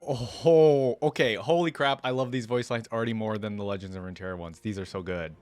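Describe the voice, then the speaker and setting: A man's voice: on the low side, brisk, darkish tone. A man hosting a game reaction channel, chatting casually and enthusiastically as he comments. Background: faint music.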